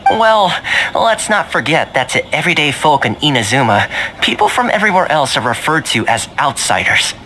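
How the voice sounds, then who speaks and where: A man's voice: a little high, big pitch swings, normal speed, heavy background noise. A man playing an easygoing, affable young male guide in a video game, breezy and politely cajoling. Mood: easygoing, explaining. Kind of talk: video game character acting.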